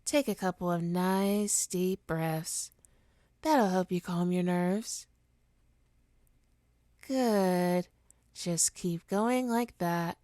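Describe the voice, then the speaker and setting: A woman's voice: lowish voice, drawn-out words, long pauses, mellow, dry recording, clean studio sound. A woman playing a smug, teasing supervillain with hypnotic powers in an ASMR roleplay, taunting and theatrical in a condescending way. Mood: commanding, soothing. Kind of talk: ASMR roleplay monologue to listener.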